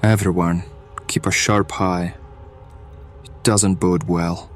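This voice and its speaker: A man playing a steady, cautious hireling in an audio drama, urging and warning his comrades. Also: low voice, takes it slowly, soft background music. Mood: watchful. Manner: urgent, cautioning.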